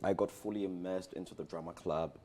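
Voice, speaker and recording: A man's voice: deep voice, steady tone, brisk, a little mellow, slight background noise. A Ghanaian man being interviewed as a guest, telling stories casually with a playful, teasing manner. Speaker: theatre director, interview guest. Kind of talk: casual interview chat, storytelling.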